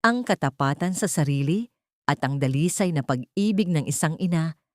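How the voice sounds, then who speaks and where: A woman narrating a sentimental story from a script in a measured, solemn, poetic manner. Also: sits low, animated, darkish tone, no room sound, studio quality.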